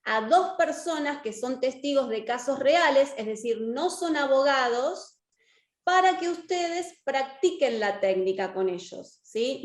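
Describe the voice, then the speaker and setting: An Argentine woman with a very high voice teaches an online class. She is encouraging and often checks in with short questions. Her voice rings in an echoey room. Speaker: course teacher and lawyer. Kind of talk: interactive online lecture.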